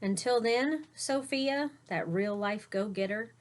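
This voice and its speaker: A woman reading a children's picture book aloud like a teacher, with deliberate emphasis and short asides to her listeners. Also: mid-range voice. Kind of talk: expressive read-aloud storytelling for young children.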